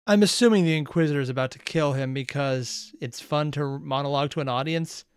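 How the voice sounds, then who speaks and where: A man with a clear, smooth voice giving casual, musing off-the-cuff commentary as a reaction host, close to the mic. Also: a little high, a little fast, over music. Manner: musing, thinking aloud, questioning.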